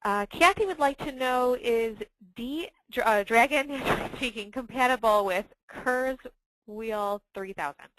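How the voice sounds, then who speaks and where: A woman hosting a webinar informally, with a hesitant, halting delivery. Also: a little high, fairly bright tone, dry recording, rough recording. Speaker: webinar host and moderator.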